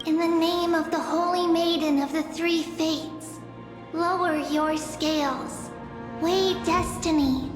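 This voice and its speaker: Solemn oracle lady from a game, really high voice, formal, echoey hall. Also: steady tone. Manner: ceremonial, measured, formal. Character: solemn female oracle pronouncing divine judgment. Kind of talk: English video game voice acting. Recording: echoey hall reverb, faint music behind.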